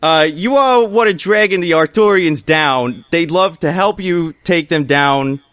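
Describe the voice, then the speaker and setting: A man's voice: a little high, a little slow, a bit bright, no echo, studio quality. A man co-hosting a comedy podcast, reading an odd article aloud in a mock salesman's delivery.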